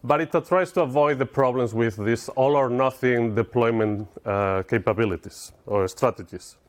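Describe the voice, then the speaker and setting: A man's voice: medium pitch, normal speed. Man with spanish accent, conference speaker, middle-aged, informal and explanatory, asks the audience questions. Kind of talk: technical conference talk. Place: conference lecture room with audience.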